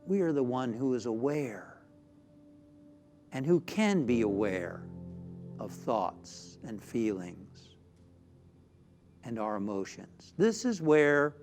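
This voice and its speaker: A man, a minister, leading a spiritual guided meditation in a soothing, deliberate way with frequent pauses. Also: mid-range voice, big pitch swings, darkish tone. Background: background music.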